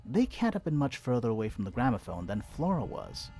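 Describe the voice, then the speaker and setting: An eager male detective from a mystery game, reasoning aloud in a theatrical way. His pitch swings wildly from line to line, and music plays behind him. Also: sing-song, a little fast, dark tone, slightly rough. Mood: explaining, intrigued. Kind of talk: video game voice acting, dialogue. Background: background music.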